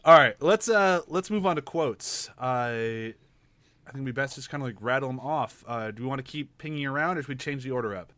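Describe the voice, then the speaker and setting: A man's voice: medium pitch, expressive, brisk, a bit bright, dry recording. A man hosting a podcast in casual chat, jokey and laughing often as he steers the talk. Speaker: podcast host.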